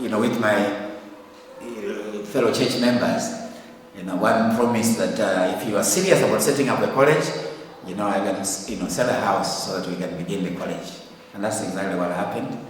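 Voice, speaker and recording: A man's voice: on the low side, slight background noise. An older man from Zambia giving a church report in a hesitant, informal way, with many fillers and restarts. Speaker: ministry leader giving a report. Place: church hall. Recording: echoey hall, mic at a distance.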